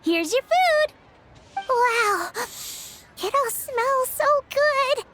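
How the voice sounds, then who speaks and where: A tiny childlike fairy companion from a video game, excitable and chirpy. The voice is extremely high and swings about in lively fashion, with loud tinkling music behind it. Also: female voice, very slow, full character voice. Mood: cheerful. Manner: chirpy, speaks of self in third person. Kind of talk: video game character acting.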